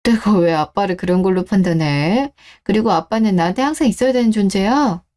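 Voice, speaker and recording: A woman's voice: mid-range voice, brisk, a little mellow, lightly acted. A woman playing an earnest, hurt wife and daughter in a scripted radio drama. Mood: defensive, worried.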